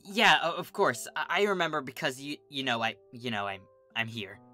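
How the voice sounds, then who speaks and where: Reader doing a brash young girl pegasus, nervous n stammery, bright voice, storybook w music. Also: medium pitch, sing-song, moderate pace. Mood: awkward, nervous. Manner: stammering, flustered, casual. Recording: music behind the voice.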